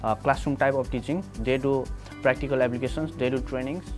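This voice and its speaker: A man's voice: mid-range voice, fairly even intonation, a little fast. A man with a Nepali accent, a student giving a promotional testimonial. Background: clear background music.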